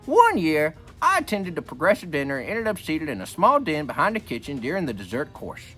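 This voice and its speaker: A man's voice: a little high, wildly swooping pitch, a little fast, pushed and bright, soft background music, full character voice. A man playing a folksy, joking old grandpa who reads a book aloud and rambles off into repetitive wisecracks. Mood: folksy storytelling. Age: older. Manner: rambling, repeats himself, wisecracking. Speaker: book reader. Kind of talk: reading a book aloud with rambling comic asides.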